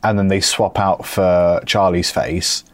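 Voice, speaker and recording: A man with a lowish voice trading dry, sarcastic banter on a podcast. He teases his co-host in an easy, deadpan way. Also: measured pace, no room sound. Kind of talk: casual chat. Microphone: remote call setup.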